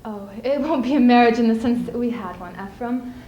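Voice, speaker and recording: A young woman performing a stage monologue as a spirited, scheming widow, speaking emphatically with deliberate theatrical pauses. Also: a little high, dark tone, dull audio, lightly acted. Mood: tired, pleading. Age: young adult. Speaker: student speech team performer. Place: indoor school showcase stage. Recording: echoey room, clearly audible background noise.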